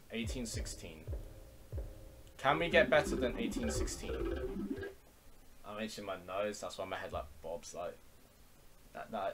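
A man giving casual speedrun commentary as a game streamer, talking half to himself. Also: on the low side. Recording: music behind, poor TV-audio mic setup. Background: music.

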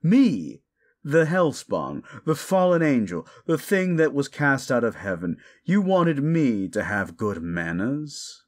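Man acting as an ancient male demon, sardonic and condescending, slow drawl, swooping intonation, gravelly, theatrical audio roleplay. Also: mid-range voice, sing-song. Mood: mocking, scornful. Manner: condescending, theatrical, drawn-out mocking delivery with whispered asides. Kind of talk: audio roleplay monologue addressed to the listener.

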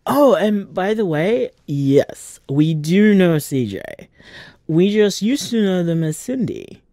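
A man voicing an affectionate young man who leaves a soft, rambling late-night voicemail for his boyfriend in an audio drama. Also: sits high, big pitch swings, slow, dry recording, clean studio sound, full character voice. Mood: tender.

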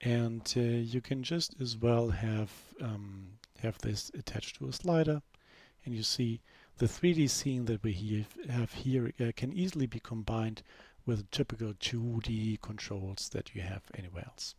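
A man narrating a screen-recorded software demo in a relaxed, unscripted way, full of fillers and restarts. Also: mid-range voice, a little slow, husky, dry recording. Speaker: software developer giving a demo.

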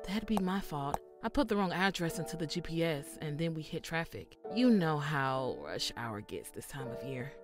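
A woman's voice: low-pitched, expressive, normal speed, hardly any pauses, music in the background. An actress playing a worried, hard-up mother turned thief in an audio drama. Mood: apologetic.

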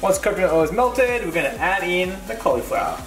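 A man's voice: sits high, expressive, fast talker. Playful man giving cooking steps. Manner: playful, jokey banter while cooking. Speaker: cooking show co-host. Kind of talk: casual recipe instructions. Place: home kitchen. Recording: echoey kitchen with background music.

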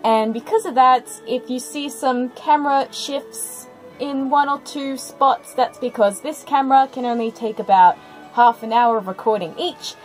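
High-voiced woman reacting casually. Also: normal speed, faint music behind, poor audio. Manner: expressive, exclamatory asides. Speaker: reaction video host.

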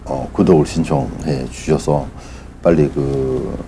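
Middle aged guy reporter talking to viewers, really low mellow voice, polite and kinda halting. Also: steady tone, slight background noise. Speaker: reporter and channel host. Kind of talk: unscripted announcement to viewers.

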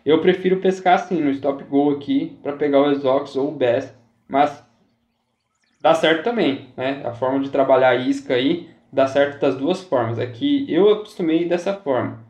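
A man's voice: mid-range voice, normal speed, darkish tone, muffled recording. A man giving casual fishing game tips as a YouTuber, chatty and repetitive, often checking in with his viewers. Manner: chatty, repeats himself, says "galera" and "tá?" often. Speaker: gaming YouTuber. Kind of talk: casual gameplay tips commentary. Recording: roomy echo.

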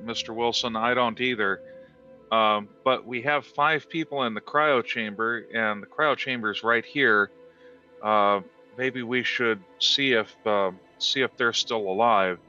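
A man with a bright, slightly low voice thinks aloud during a casual online game. The sound is dull like voice chat, with some music behind him. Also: steady tone, a little slow. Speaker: player in an online tabletop game.

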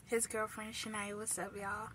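Woman, nineteen, playful car vlog chat, giggly, bright voice, phone at arm's length, faint noise. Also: mid-range voice, little pitch movement, normal speed. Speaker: co-host, girlfriend. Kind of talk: casual chat. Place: inside a parked car. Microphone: phone camera at arm's length.

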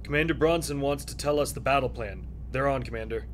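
A man's voice: mid-range voice, fairly quick, close to an everyday voice. A man playing an anxious, dutiful American soldier in an audio drama, worried and deferential. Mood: uneasy. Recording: very noisy battlefield radio scene.

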